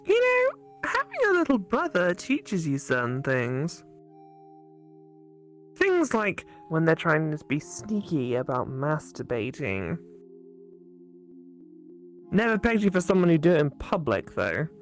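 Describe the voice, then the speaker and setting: A woman's voice: deep voice, wildly swooping pitch, a little slow, long pauses, faint music behind, exaggerated voice. A woman voicing a young female college classmate in a visual novel, frank, suspicious and scolding.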